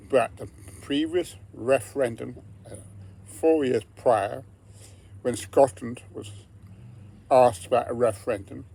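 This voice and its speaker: A man's voice: medium pitch, vocal fry, warm tone, husky. Older man with an English accent. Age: 70s. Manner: earnest, emphatic, repeats phrases for effect. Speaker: political candidate. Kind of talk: personal campaign monologue.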